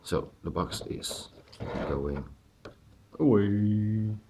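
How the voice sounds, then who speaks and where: A man's voice: fairly low pitch, extremely slow, dark tone, slight background noise. A man from the Netherlands with a Dutch accent, speaking English as a second language in a hesitant, informal, unscripted way as he reviews collectibles. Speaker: amateur collectible toy reviewer. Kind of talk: casual unscripted hands-on review.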